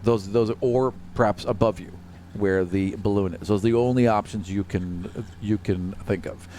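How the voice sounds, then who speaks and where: A man narrating a tabletop role-playing game as game master, halting and descriptive with casual asides. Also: lowish voice, steady tone, dark tone, a little hiss or hum.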